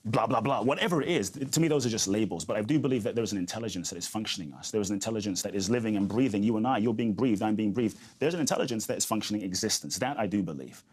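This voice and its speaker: A man's voice: lowish voice, very fast, hardly any pauses, darkish tone, husky. A man, a guest speaker talking earnestly and reflectively in an interview, often repeating his key phrases. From grew up in London. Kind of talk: interview conversation.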